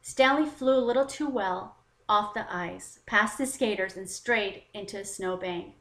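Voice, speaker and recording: A woman hosting a children's story time, narrating in an expressive, deliberate read-aloud style. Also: mid-range voice. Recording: roomy, echoing voice recording.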